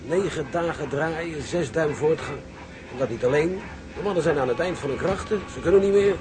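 An actor playing a blunt, matter-of-fact, doubting ship's officer in an audio drama. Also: male voice, a little high, moderate pace, crowd noise, close to an everyday voice. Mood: grim. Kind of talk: Dutch radio drama acting. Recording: noisy outdoor ship ambience.

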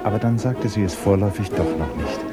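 A man narrating a literary 1960s German radio play in a measured, composed manner. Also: on the low side, loud music behind the voice, close to an everyday voice.

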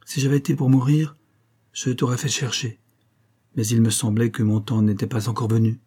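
A man voicing a frail old female servant in a storybook reading, faint, soft and resigned, gently reproachful. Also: mid-range voice, flat delivery, moderate pace, husky, natural voice. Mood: tender, resigned. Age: older. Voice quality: faint, lifeless voice.

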